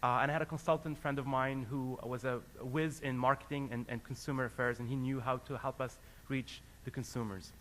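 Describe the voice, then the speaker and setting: A man's voice: medium pitch, steady tone, brisk, few pauses, a bit bright, a little hiss or hum. A man who grew up in Lebanon, a company founder giving a business presentation to an audience, earnest and hesitant, with many fillers and restarts.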